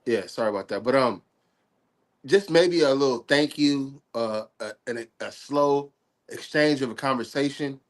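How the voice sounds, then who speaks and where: A man in his forties, a bit sick and nasal, talking in a relaxed, slangy way on a live stream chat. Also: medium pitch, moderate pace. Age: 40s. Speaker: guest on a live stream talk. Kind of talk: casual chat.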